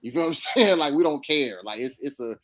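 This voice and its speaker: An American man in a casual podcast discussion, speaking haltingly and repeating words as he works toward his point. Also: fairly high pitch, animated, a bit bright. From United States. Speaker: podcast co-host.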